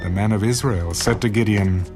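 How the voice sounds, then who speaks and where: Casting: male narrator for dramatized scripture, lowish pitch, solemn and measured, strong music bed. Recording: loud background music.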